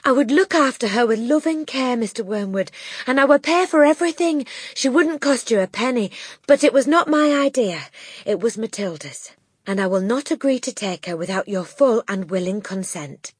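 A woman puts on a gentle schoolteacher from a storybook. She is calm and caring, speaking softly and with measured care. The voice is husky, expressive and recorded dry. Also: a little high, dry recording, pitched above the performer's own voice. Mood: earnest. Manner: quiet, soft, measured.

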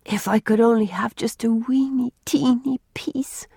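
A woman voicing a frail, gentle little girl with a weak, breathy voice, as in a storybook. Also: medium pitch, sing-song, slow pace, no room sound, studio quality, voice pushed up, exaggerated voice. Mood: longing.

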